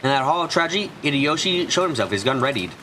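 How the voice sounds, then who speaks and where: A man's voice: fairly high pitch, lively intonation, fast, pushed and bright, a little hiss or hum, poor audio. A man streaming a visual novel, reading the narration aloud and sometimes stumbling over words.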